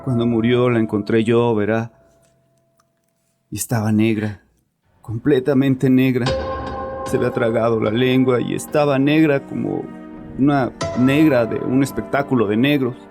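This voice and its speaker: An actor playing a troubled, chauvinist bereaved father confessing in an audio horror drama, with a haunted, halting manner. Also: medium pitch, steady tone, music in the background, lightly acted. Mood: haunted, grieving. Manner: haunted, halting, repeats himself.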